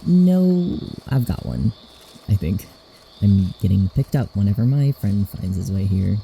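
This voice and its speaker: Weary drifter, male actor: deep, creaky, unhurried, hesitant, faint insect noise behind. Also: big pitch swings, warm tone, heavily acted, low-quality recording. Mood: hesitant, concerned. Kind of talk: podfic audio drama acting.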